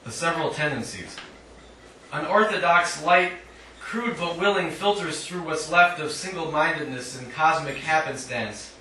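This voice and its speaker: Echoey, bright-voiced man reading poetry. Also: fairly high pitch, unhurried. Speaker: poet reading own work. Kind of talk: live poetry reading with short spoken asides. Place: indoor literary cafe reading. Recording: echoey live room, distant mic, faint noise.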